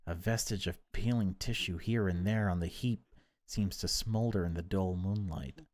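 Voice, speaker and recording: A man reading horror game text aloud as dramatic narration, in deliberate, broken phrases. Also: sits low, a little mellow. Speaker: gaming video creator reading a visual novel aloud.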